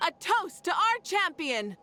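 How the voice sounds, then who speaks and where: An actress playing a confident, warm female leader in a video game, speaking in a measured, formal way. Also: very high-pitched, big pitch swings, a little hiss or hum, heavily acted. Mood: celebratory. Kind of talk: scripted video game voice acting.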